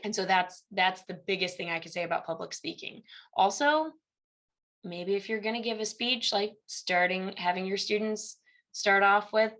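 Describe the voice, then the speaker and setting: A woman's voice: mid-range voice, normal speed, fairly bright tone. A young woman from Illinois with a Midwestern accent, a university instructor chatting as a guest in an interview and acting out the conversations she recounts. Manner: chatty storyteller who acts out quoted exchanges. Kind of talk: casual interview chat.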